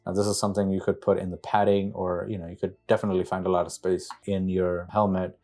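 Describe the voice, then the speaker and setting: Guy with a low soft mellow voice doing a casual unboxing, kinda figuring it out as he talks. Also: little pitch movement, fast. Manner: tentative, thinking aloud while handling parts. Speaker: product reviewer, motorcycle rider. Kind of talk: casual unboxing walkthrough, talking to viewers.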